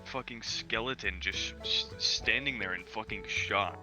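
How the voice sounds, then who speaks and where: A man's voice: lowish voice, measured pace, music in the background. A young man casually telling an anecdote to friends, crude and full of swearing. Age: young adult. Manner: crude, profane.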